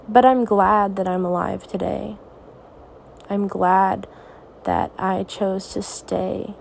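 A teenage girl hosting her own podcast, talking softly and reassuringly in a raspy, tired voice late at night. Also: mid-range voice, fairly even intonation, slow pace, a little hiss or hum. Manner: soft, reassuring, hesitant. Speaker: podcast host. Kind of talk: personal podcast monologue.

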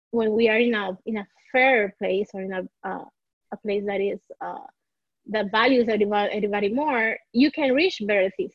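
Husky, soft-spoken woman. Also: a little high, no echo, muffled recording. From Puerto Rico. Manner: searching for words, frequent restarts, soft laughs. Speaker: life coach, podcast guest. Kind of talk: conversational interview.

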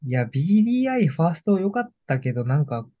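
A young adult man co-hosting a podcast, chatting casually about music in a relaxed way and laughing often. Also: fairly high pitch, fast, no room sound, dull audio. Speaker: podcast co-host.

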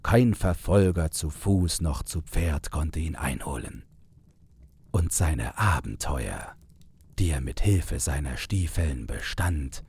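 Casting: male fairy-tale narrator, very low register, deliberate scripted storytelling at a slow pace. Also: expressive, darkish tone, breathy edge.

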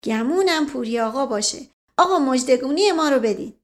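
Lady doing a chatty old servant guy, folksy drawl, boastful, pitched up, audiobook story. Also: darkish tone, lightly acted. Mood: excited.

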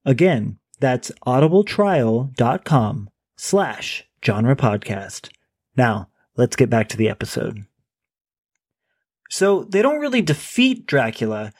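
Male podcast co-host, mid pitch, conversational book chat. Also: big pitch swings, slow, darkish tone, breathy edge, no echo, studio quality. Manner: conversational, reads a sponsor plug. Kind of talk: casual book discussion and ad read.

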